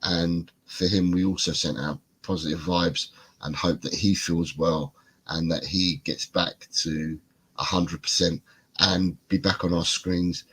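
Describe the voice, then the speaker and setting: Male British host, very low husky voice, stuffed-up and congested, rambling casual chat. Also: fairly bright tone, rough recording. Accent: British English. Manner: rambling, repeats himself. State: congested, bunged up. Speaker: podcast host.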